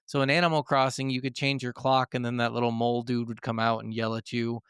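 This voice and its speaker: A man with a mid-pitched, creaky-edged but clean voice chats as a podcast co-host. He swears freely and sounds enthusiastic about his subject. The recording is dry, with very little room sound. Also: flat delivery, quick pace, fairly bright tone, no room sound. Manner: profane, enthusiastic. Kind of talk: casual gaming podcast chat.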